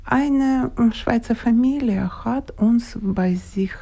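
A woman in her sixties with a Ukrainian accent, speaking German haltingly as a guest in a radio studio interview. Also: fairly high pitch, creaky, mellow. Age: 60s. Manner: slow, halting, searching for words.

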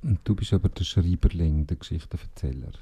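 A man's voice: low voice, quick pace, dark tone, slightly rough. A man hosting a radio interview, asking long chatty questions. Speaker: radio host.

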